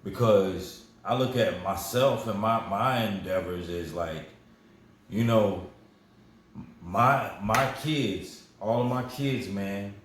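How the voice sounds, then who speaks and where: A man's voice: fairly low pitch, slow. A man in his forties telling his life story in a casual interview, rambling and repeating words, proud when he talks about his family. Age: 40s. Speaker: guest. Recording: very echoey room with faint noise.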